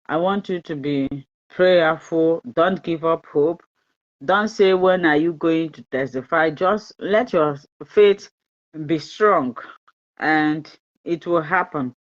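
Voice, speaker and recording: A Nigerian woman whose first language is Igbo, hosting a live-stream fertility talk in a chatty, repetitive way and slipping into pidgin. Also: lowish voice. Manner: chatty, repeats herself, mixes in pidgin, laughs often. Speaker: fertility channel host. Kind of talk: live-stream advice talk, reading viewer comments. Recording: dull lo-fi live-stream audio.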